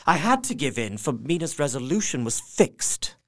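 A man's voice: on the high side, very animated, moderate pace. A man narrating a gothic drama in the first person, earnest and heartfelt. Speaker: narrator and young husband character.

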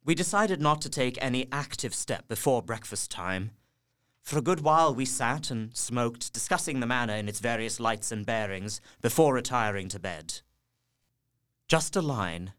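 A man's voice: medium pitch, lively intonation, normal speed, a bit bright, dry recording, studio quality, close to an everyday voice. An actor playing an earnest young solicitor and devoted husband, narrating his journal in an audio drama. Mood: tender, anxious.

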